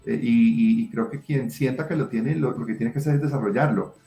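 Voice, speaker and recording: Middle-aged man, eager and rambling, medium pitch, echoey room, faint music behind. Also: a little fast, mellow. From Colombia. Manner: passionate, rambling with many restarts. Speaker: writer and guest. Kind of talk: interview answers. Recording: roomy echo.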